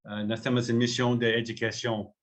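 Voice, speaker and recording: A man from Canada, a native English speaker with a Canadian accent, talking informally as a company founder in halting, word-searching non-native speech. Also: mid-range voice, little pitch movement.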